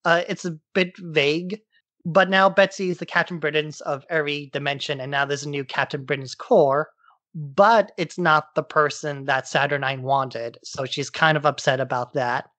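A man's voice: a little high, steady tone, normal speed, sharp tone, dry recording. A man hosting a podcast, giving a casual, informal recap in loose everyday language. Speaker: podcast host. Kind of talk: casual comic-book recap.

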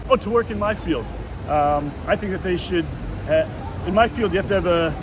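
A man, answering a street interview on a lapel mic, relaxed and thoughtful with many fillers. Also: high voice, brisk. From Boston, USA. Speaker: interviewee, marketing worker. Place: city street outdoors. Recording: outdoor street with loud traffic noise.

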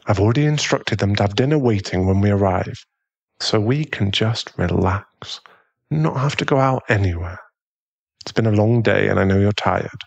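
Husky British werewolf boyfriend, acted by a man. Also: lowish voice, lively intonation, moderate pace, highs cut off. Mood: reassuring, caring. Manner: soothing, affectionate, genteel. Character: tender, protective aristocratic male werewolf fiancé. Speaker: ASMR roleplay performer. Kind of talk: ASMR boyfriend roleplay.

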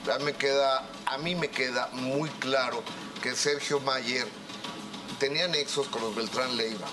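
A man on a television talk show tells his side assertively, in a steady mid-pitched voice. He builds his point with quick asides. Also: over music. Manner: assertive, storytelling. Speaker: TV host.

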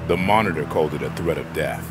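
A man playing a terse, disciplined soldier commander in a video game, speaking in clipped orders over a loud score. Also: very deep voice, fast, loud music behind the voice. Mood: stern.

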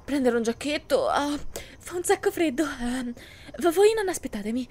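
A woman's voice: high-pitched, lively intonation, normal speed, darkish tone, slight background noise. A young woman playing a kind, chatty, easily flustered heroine in an anime fan audio drama.

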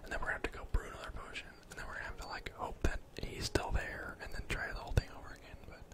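Young man whispering softly. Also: racing. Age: young adult. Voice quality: soft breathy whisper. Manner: casual, gently chatty. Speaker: gaming ASMR video host. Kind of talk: whispered ASMR game commentary. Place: indoor room at home.

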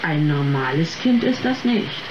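A man's voice: a little high, very animated, unhurried. An actor playing a surly, dismissive male tavern customer in a radio play, grumbling and scornful. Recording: dull, roomy sound with ambient noise.

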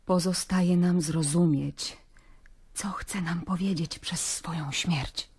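An actress playing a reflective Roman governor's wife in an audio drama, hushed, measured and breathy. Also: female voice, fairly low pitch, steady tone, exaggerated voice. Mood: hushed, resigned. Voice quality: breathy, half-whispered. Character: Claudia, Pilate's calm, reflective Roman wife.

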